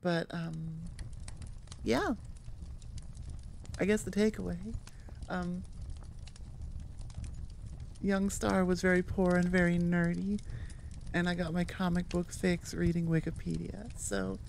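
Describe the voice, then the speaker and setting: A woman creator chatting casually to her listeners in a rambling outro, halting and thinking aloud with self-aware asides. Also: lowish voice, fairly even intonation, creaky, darkish tone, slight background noise. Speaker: audio roleplay creator and host.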